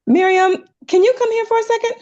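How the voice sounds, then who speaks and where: A woman playing a concerned, firm doctor in a drama table read, speaking in a measured, earnest way. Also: very high voice, steady tone, a little mellow, lo-fi sound. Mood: worried, resolute.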